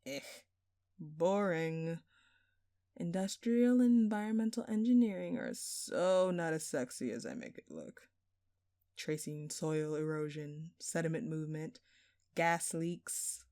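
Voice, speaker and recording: Woman acting as a slow, smug, flirtatious thief. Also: deep voice, lively intonation, mellow, no room sound, studio quality. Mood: teasing. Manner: teasing, theatrical, playfully sarcastic. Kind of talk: intimate scripted audio roleplay.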